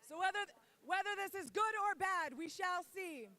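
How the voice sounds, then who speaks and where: A man's voice: extremely high pitch, normal speed, bright, forceful tone. A man who is losing his voice, announcing the start of a match at a live event with dry, wry remarks to the teams.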